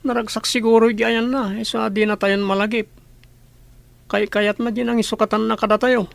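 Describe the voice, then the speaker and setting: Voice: medium pitch, level tone, moderate pace, vocal fry, dry recording, natural voice. A performer acting a bitter, resigned young elder sibling in a radio drama.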